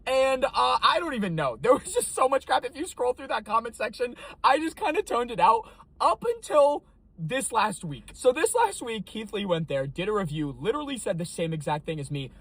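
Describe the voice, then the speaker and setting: A man's voice: extremely high pitch, very animated, fairly quick, a bit bright, breathy edge, dry recording. A young man from Texas, a food reviewer, talking casually to camera and defending his review in an exasperated way. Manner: defensive, exasperated, talks it through.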